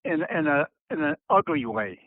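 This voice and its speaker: Older male interviewee, slightly high-pitched, hesitant and rephrasing as he reflects, thin telephone sound. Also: very animated, no echo. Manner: halting, circling and rephrasing, searching for words. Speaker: interviewee recalling family history. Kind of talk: reflective phone interview talk. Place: on a telephone call. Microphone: telephone handset. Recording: tinny narrowband phone line.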